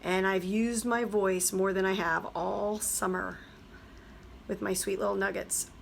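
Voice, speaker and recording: A hoarse woman, a teacher, chattily explaining a craft project step by step. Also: mid-range voice, fairly even intonation, measured pace, a little hiss or hum. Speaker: craft tutorial host. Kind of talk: craft tutorial voice-over.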